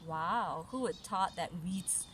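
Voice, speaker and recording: A woman reading a fairy tale aloud to children in an instructive way, pausing for chatty comments and questions. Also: lowish voice. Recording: noisy home recording.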